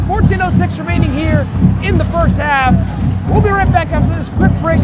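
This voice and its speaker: A young man giving excited, projected live radio play-by-play of a basketball game. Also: extremely high pitch. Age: young adult. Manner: excited, projected, often shouting calls. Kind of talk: live radio sports commentary. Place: courtside in a basketball arena. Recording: loud crowd and gym noise behind. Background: crowd, bouncing ball, gym noise.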